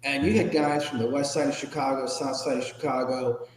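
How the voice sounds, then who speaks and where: An American man speaks as an interview guest in a candid, informal, reflective way. His pitch sits in the middle range for a man. The room around him is echoey, with a long reverberant tail. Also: steady tone, brisk, slight background noise. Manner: candid, informal, occasionally salty. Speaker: podcast guest, ethics trainer and public speaker. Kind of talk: reflective personal interview answers.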